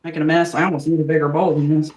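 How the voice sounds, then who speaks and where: A woman's voice: low-pitched, little pitch movement, rapid-fire, a little mellow. Older woman, homey host, earnest chatter that turns preachy, roomy kitchen sound. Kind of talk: casual chat while cooking. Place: home kitchen.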